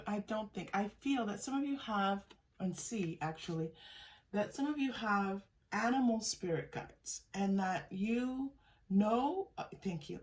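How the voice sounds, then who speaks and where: Soft-spoken woman reading tarot off-mic. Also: mid-range voice, far from the mic. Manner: unhurried, musing, often trails off and restarts; soft-spoken, gives thanks to unseen guides. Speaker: tarot reader. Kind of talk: pick-a-card tarot reading.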